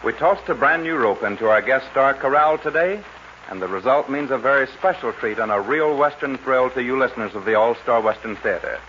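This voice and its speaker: A man's voice: mid-range voice, pushed and bright. A man announcing and narrating a 1940s western radio show in a folksy showman's delivery. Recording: noisy dull 1940s radio recording.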